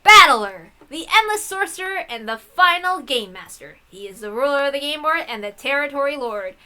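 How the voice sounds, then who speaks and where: A woman's voice: sits high, animated, moderate pace, bright, forceful tone. A woman hosting a game playthrough, reading text aloud and adding playful, casual asides. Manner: playful, giggly, swearing asides.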